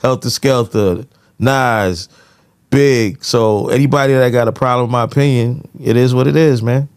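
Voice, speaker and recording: A man's voice: medium pitch, moderate pace, smooth. A middle-aged man co-hosting a podcast, speaking in a laid-back, opinionated way with lots of fillers. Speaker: podcast co-host. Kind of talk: casual podcast chat.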